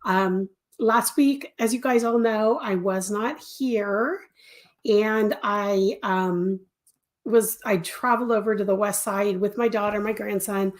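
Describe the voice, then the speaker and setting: An older woman with a stuffy cold and a slightly raspy throat, chatting casually as host of a weekly live stream, greeting viewers by name. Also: mid-range voice, slow pace. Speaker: crochet designer hosting a weekly live stream.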